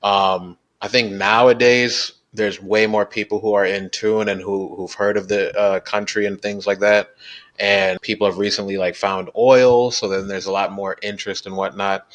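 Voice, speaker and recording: An American man, a guest musician, talking about his background in a relaxed, casual interview with lots of fillers. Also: lowish voice, moderate pace. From United States. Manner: relaxed, explains at length with many fillers.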